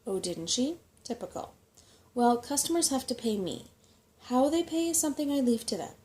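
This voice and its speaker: A woman voicing a self-important, grasping little male rat mapmaker in a soft-spoken storybook reading, with a fussy, portentous manner. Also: high voice, very animated, normal speed, pitched above the performer's own voice. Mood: scornful. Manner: portentous, fussy, easily affronted.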